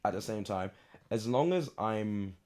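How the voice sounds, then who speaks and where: A young man in casual podcast banter, teasing and quick with a joke. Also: fairly low pitch, expressive, darkish tone. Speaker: podcast co-host. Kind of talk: casual chat.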